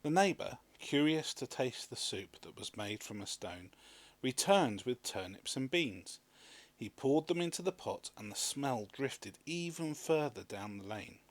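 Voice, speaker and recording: A man reading a children's story aloud as an expressive scripted narration. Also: mid-range voice, unhurried. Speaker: storybook reader.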